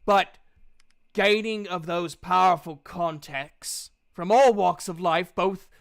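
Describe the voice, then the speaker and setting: Guy doing a pushy mocking dwarf recruiter, high voice, slow punchy sales talk, tabletop game. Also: gravelly, no echo, studio quality, full character voice. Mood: boastful pitch. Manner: emphatic, taunting salesman's patter. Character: brash, scheming male dwarf guild recruiter, pushy and mocking.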